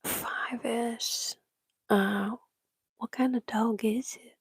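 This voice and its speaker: A woman from Michigan whispering in casual chat with her livestream viewers, breathy and laughing often. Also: on the high side, fairly even intonation, very slow, dry recording. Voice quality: breathy, gravelly. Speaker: livestream host.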